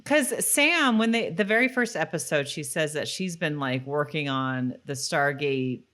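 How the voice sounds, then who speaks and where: Female podcast co-host, low register, informal rewatch-style chat. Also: animated, measured pace, a bit bright. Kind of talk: casual chat about a TV show.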